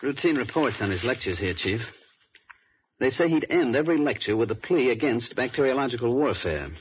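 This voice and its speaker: An actor playing a loyal counterspy assistant in an old radio drama, giving matter-of-fact briefings. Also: male voice, medium pitch, animated, brisk, lightly acted. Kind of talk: 1950s radio drama acting. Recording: muffled, hissy old radio recording.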